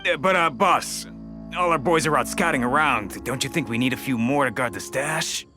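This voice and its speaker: An actor playing a deferential, excitable bandit henchman in a video game, with slangy lackey talk. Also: mid-range voice, moderate pace, music in the background, close to an everyday voice. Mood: hesitant. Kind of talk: animated video game dub acting.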